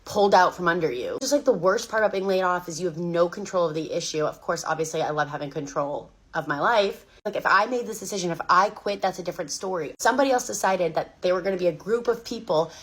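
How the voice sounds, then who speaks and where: A woman with a fairly low voice rushes through a frustrated rant, circling back to the same complaint again and again. She speaks quickly and bluntly, like a personal vlog. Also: breathy edge. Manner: frustrated, repetitive venting. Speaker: laid-off worker. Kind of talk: venting vlog monologue.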